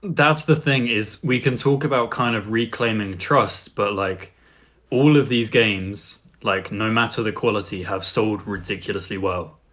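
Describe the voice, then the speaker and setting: A man's voice: lowish voice, unhurried, a bit bright. A man co-hosting a casual podcast, reasoning out his point in a matter-of-fact way. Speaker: podcast co-host.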